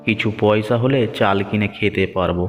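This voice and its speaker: A man's voice: on the low side, fairly quick, big-room sound, soft background music, close to an everyday voice. A man voicing a poor, anxious village farmer in a moral-story cartoon, plaintive and earnest. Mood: worried, resigned.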